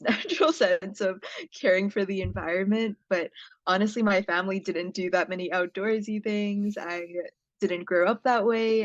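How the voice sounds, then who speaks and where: Young woman with a low-pitched voice, roomy sound. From Hawaii, United States. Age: young adult. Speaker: podcast guest, climate impact producer. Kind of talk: conversational personal storytelling. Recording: roomy, slightly dull lo-fi sound.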